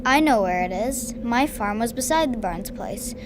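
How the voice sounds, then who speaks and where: Young girl acting orphaned farm runaway Olivia, high voice. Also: animated, normal speed, lightly acted. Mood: matter-of-fact, wistful. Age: child of about 10 or 11. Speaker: school pupil acting. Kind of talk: school radio drama acting. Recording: clearly noisy, with background ambience.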